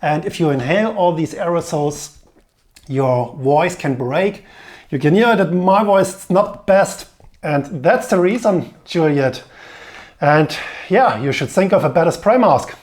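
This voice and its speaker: A man commenting on a bike painting video, explaining tape and materials in an instructive, slightly halting way. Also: a little high, expressive, a little mellow.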